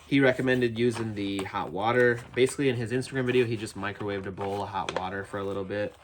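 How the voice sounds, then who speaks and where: A man's voice: fairly low pitch, fairly even intonation, fast, background noise. Stuffy-nosed man chatting about toys. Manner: rambling and chatty, many restarts, eager. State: stuffy and nasal from a cold, throaty with phlegm. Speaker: toy collector reviewing action figures. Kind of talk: casual unboxing review. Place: his toy building, a separate outbuilding.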